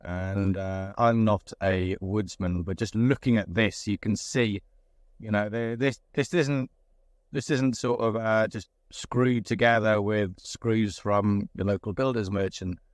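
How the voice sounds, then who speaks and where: Lowish-voiced man interviewing casually. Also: fairly even intonation, unhurried, no echo, studio quality.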